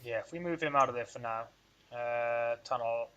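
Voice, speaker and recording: A man's voice: mid-range voice, normal speed, sharp tone, clean tone, muffled recording. A man hosting a strategy game let's play, rambling and thinking aloud in a casual, self-deprecating way. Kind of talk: casual gameplay commentary.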